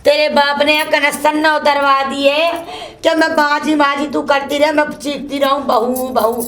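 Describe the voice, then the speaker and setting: A woman playing a shrill, cursing old mother-in-law who keeps scolding her daughter-in-law. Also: little pitch movement, normal speed, fairly bright tone. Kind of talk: comic one-woman sketch.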